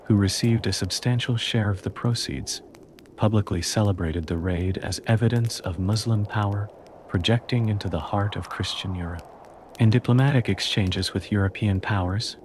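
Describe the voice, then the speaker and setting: A man reading a calm, scripted history narration meant for sleep, in a slow and measured way. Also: lowish voice, a little hiss or hum.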